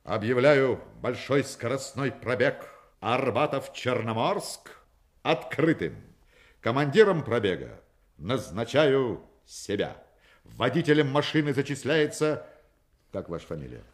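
A man's voice: medium pitch, big pitch swings, measured pace. An actor playing a witty, commanding male con man in a Russian radio play, talking with mock-pompous irony and grand declamation. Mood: mock-solemn, commanding.

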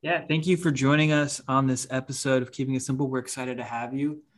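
A man hosts a podcast interview, welcoming his guest and setting up each question. He sounds friendly and measured, with a voice of ordinary middle pitch. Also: steady tone. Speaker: podcast host. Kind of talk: interview hosting.

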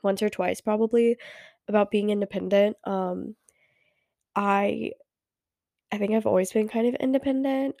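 A woman's voice: medium pitch, vocal fry, warm tone, no echo, studio quality. A teenage girl hosting a casual solo podcast, rambling with many fillers, her voice stuffy from a cold. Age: teens. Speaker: podcast host.

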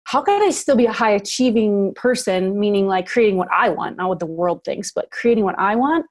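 A woman's voice: mid-range voice, expressive. A woman in her forties, a podcast guest, talking candidly and reflectively about her life in a casual interview. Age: 40s. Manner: candid and reflective, quick bursts with laughs.

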